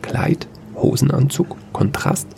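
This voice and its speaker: A man narrating a sci-fi fairy-tale audio story in a measured storytelling manner. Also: mid-range voice, expressive, creaky, noisy background.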